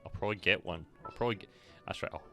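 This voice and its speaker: A man's voice: deep voice, rapid-fire, fairly bright tone, faint music behind. A man streaming a video game, giving casual commentary with joking, rambling asides.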